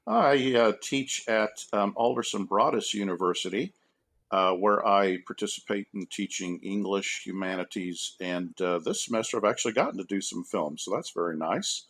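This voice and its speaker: Male professor guest, moderately low pitch, measured and hesitant, conversational podcast tone. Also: fairly bright tone. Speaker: academic guest, university professor. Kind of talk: casual podcast interview.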